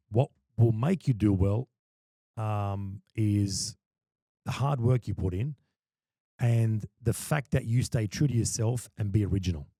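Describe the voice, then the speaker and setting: A man's voice: medium pitch, mellow, no echo. A man, a wedding MC and podcast guest, talking passionately and emphatically, often repeating words as he starts a thought. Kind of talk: casual podcast chat.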